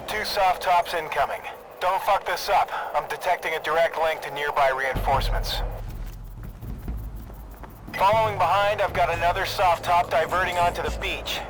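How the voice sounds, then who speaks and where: An actor playing a brash military jet pilot in a video game, giving clipped, forceful radio callouts. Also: male voice, high voice, background noise, lightly acted. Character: brash, foul-mouthed military jet pilot, adult man. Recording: loud background music.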